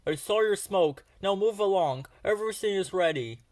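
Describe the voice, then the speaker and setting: A man's voice: high-pitched, lively intonation, normal speed, a little mellow, no room sound, voice pushed up. A man voicing a commanding male railway boss who calls out emphatic orders in a children's storybook. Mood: calling out, urgent. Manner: emphatic, calling out.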